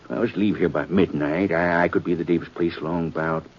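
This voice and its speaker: An actor playing a kindly, fretful wagon driver in a radio western, halting and muttering in a husky, rural American voice. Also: male voice, low voice, fairly even intonation, a little hiss or hum, lightly acted. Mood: reluctant, pondering. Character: kindly, fretful frontier wagon driver, good-hearted and folksy. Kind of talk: 1950s radio western acting. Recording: dull old radio recording.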